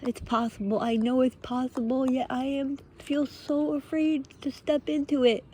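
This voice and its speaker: A woman giving an earnest, unscripted spiritual pep talk in short, halting phrases, often posing rhetorical questions. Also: high voice, little pitch movement, warm tone, a little hiss or hum. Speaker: motivational speaker, vlogger.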